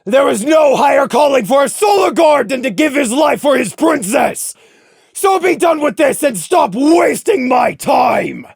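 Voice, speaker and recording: A man's voice: very high-pitched, sing-song, a little slow, vocal fry, no room sound, shouting, clean studio sound, full character voice. A man voicing a self-righteous, defiant unicorn stallion guard captain in a dramatic audio story, declaiming with open contempt. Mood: furious, defiant.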